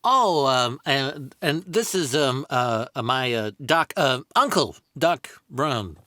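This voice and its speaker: A man voicing an awkward, laid-back teenage boy in a comic table read, halting and stammering nervously. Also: medium pitch, lively intonation, pushed and bright, no echo, studio quality. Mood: flustered, nervous. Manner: halting, stammering, full of hesitations.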